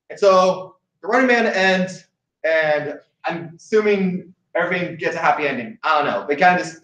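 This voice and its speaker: A man's voice: a little high, a bit bright. A young man from Hawaii hosting a casual chat, rambling with many restarts and fillers. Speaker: host. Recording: echoey room, distant mic, dull sound.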